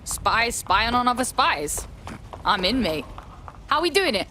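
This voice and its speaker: A brash young London hacker woman from a video game, high-voiced and very animated. She is slangy, blunt and sweary, with faint noise behind her. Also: unhurried, exaggerated voice. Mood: blunt, keen. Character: brash, street-tough young female hacker activist.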